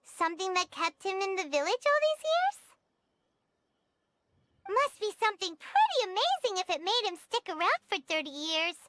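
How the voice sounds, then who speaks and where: A woman voicing a tiny, childlike fairy companion in a video game, chirpy, chatty and excitable. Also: very high-pitched, normal speed, noisy background. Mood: excited, wondering. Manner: chirpy, refers to self in third person.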